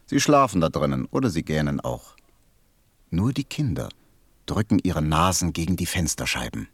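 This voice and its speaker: A man playing a weary, matter-of-fact railway switchman in a German radio play, laconic and resigned, with a husky low voice. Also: big pitch swings, normal speed, no echo, full character voice.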